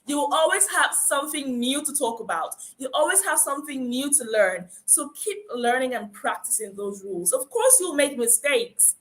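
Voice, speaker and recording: A woman's voice: sits high, expressive, normal speed, few pauses, fairly bright tone, rough recording. A woman with a Nigerian accent giving an encouraging phonics lecture, stressing rules and repeating key points. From Akwa Ibom, Nigeria. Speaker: phonics teacher. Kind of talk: conference lecture.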